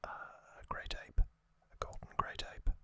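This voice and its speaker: A man's voice: pushed and bright, dry recording. Whispering male ASMR host. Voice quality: breathy unvoiced whisper. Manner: unhurried, halting, short phrases.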